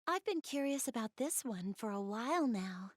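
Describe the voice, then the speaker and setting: A shy, bookish young girl from a video game, speaks in a clearly high voice. She is gentle and earnest, a little hesitant as she talks directly to the listener. The sound is dry, free of room echo. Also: lively intonation, normal speed. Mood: shy, curious. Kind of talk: video game cutscene dialogue, addressing the listener.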